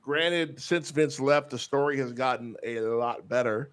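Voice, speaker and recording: A man's voice: medium pitch, unhurried, clear, resonant tone. A man with a Texas accent, co-hosting a podcast in casual, blunt, profane chat, laughing often. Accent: Texan. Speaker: podcast co-host.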